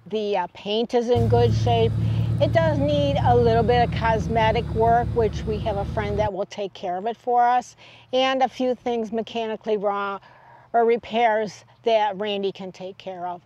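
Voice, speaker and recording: A woman's voice: a little high, fairly even intonation, normal speed, mellow, lo-fi sound, a little hiss or hum. An older American woman casually recounting a trip as a travel vlog host, speaking outdoors in the open air.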